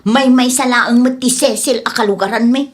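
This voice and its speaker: Woman acting a high-voiced, jealous Ilocano girlfriend. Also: moderate pace, natural voice. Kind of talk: audio comedy-drama acting.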